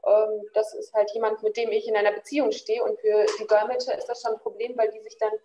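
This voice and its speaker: A woman giving thoughtful interview answers over a video call, pausing often with fillers. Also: mid-range voice, little pitch movement, dark tone, a little hiss or hum, rough recording. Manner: thoughtful, many pauses and fillers.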